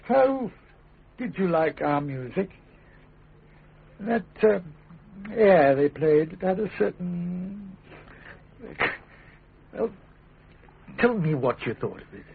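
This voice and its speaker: An English actor playing a volatile, self-righteous young king in classic radio drama style, imperious and theatrical, swinging between bluster and hush. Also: high-pitched, big pitch swings, takes it slowly, vocal fry, pauses often, a little hiss or hum, exaggerated voice. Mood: playful, probing. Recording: muffled, narrow-band radio broadcast.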